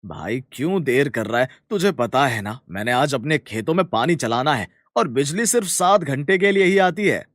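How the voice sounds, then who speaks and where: A man voicing a kind, earnest young village farmer in a cartoon story, with a colloquial, animated manner. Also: mid-range voice, moderate pace, hardly any pauses, no echo, clean studio sound, natural voice. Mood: hurried. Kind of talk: Hindi cartoon story dialogue.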